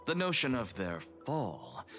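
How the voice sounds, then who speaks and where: A man voicing a proud, cerebral professor in a video game, speaking in a measured, grandiose way with breathy emphasis. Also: mid-range voice, sing-song, music in the background. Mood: philosophical, measured. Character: proud, cerebral male scholar-professor, blasphemous and coolly arrogant. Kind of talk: English video game voice acting.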